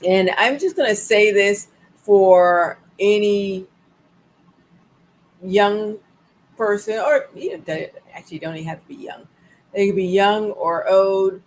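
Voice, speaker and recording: A woman's voice: medium pitch, slow, long pauses, low-quality recording. A woman of sixty hosting a wellness live stream, repeating her points and chatting to viewers with bursts of laughter. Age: 60s. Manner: animated, repeats phrases, laughs, talks to viewers. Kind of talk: casual live-stream talk. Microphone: live-stream setup.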